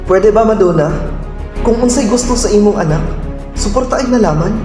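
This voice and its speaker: A man's voice: high voice, moderate pace, natural voice. A man playing a mild, helpless middle-aged father in an amateur radio drama. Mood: mild, resigned. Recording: very echoey room, music behind.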